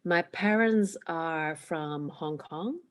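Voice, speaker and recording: A woman from the Netherlands who grew up speaking Cantonese, answering interview questions reflectively with many hesitant "um" pauses. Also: deep voice, clean tone. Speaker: guest, emotional awareness coach.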